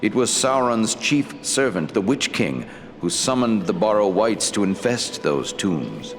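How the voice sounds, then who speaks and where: Fantasy game elf lord: solemn lore-master, deep and formal, long hall reverb, faint music behind. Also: male voice, very animated. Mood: grave. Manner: formal, grave, deliberate elevated diction. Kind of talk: fantasy game dialogue acting.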